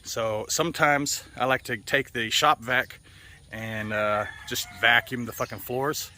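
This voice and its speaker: A man's voice: medium pitch, fairly even intonation, a little slow, bright, forceful tone. An American man rambling casually for a vlog, offhand and freely swearing, recorded outdoors in a yard. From United States. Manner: profane, offhand. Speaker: vlogger. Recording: noisy outdoor recording with birds and roosters. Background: birds, roosters and outdoor ambience.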